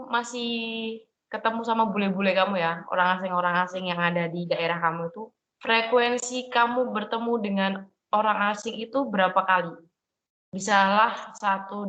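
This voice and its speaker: A woman's voice: medium pitch, a bit bright. A young woman interviewing someone with chatty, curious questions. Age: young adult. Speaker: interviewer, student. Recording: dull, echoey room sound.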